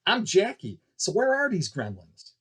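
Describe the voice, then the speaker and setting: Curious little boy, acted by a man: raised pitch, lively, eager questioning, storybook read. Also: normal speed, husky, heavily acted. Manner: wide-eyed, questioning. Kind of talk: audiobook character dialogue.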